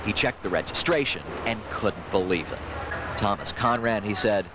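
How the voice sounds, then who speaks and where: A man's voice: fairly low pitch, moderate pace. A man narrating a scripted television news report as a reporter. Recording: clearly noisy broadcast tape.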